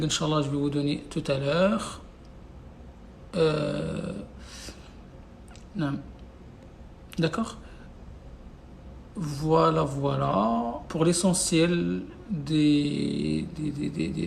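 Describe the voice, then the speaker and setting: Gravelly man teaching in a live class. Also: a little high, slow, pauses often, slight background noise. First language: Arabic. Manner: repetitive, insistent, many fillers and restarts. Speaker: Arabic teacher. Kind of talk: live online class, casual teaching talk. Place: away from home, no whiteboard.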